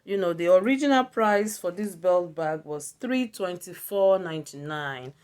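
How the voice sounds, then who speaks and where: A woman's voice: on the low side, animated, moderate pace, darkish tone, smooth. A woman with a Nigerian accent gives a casual product review as a video blogger, often repeating words for emphasis.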